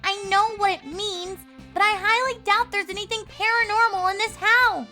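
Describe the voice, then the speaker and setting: Small skeptical filly, very high, polite and precise, animated storybook voice over music. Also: female voice, normal speed. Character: small sweet filly, prim, skeptical child.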